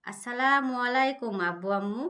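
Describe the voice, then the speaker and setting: A woman plays a modest schoolgirl daughter in an Islamic cartoon. Her voice is on the high side, and she speaks politely, earnestly and in a measured way. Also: pushed and bright. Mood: respectful.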